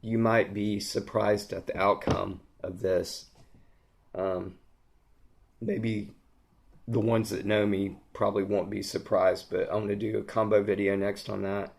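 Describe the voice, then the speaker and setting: Hoarse low-voiced man gushing over a product. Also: little pitch movement, a little slow, slight background noise. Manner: gushing, rambling, lots of ums and restarts. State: hoarse, losing his voice. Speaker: fragrance reviewer. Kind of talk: casual product review vlog.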